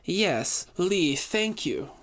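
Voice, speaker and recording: Man voicing an elegant, seductive society hostess, pitched up. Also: lively intonation, fairly bright tone, exaggerated voice. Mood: gracious, poised. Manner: genteel, formal, measured. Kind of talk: audio drama storytelling.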